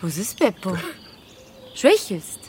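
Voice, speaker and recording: A woman's voice: medium pitch, sing-song, takes it slowly, noisy background. An actress playing a plucky, affectionate, teasing young female hiker with a Bavarian accent, in a naturalistic dialect radio drama. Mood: alarmed, urgent.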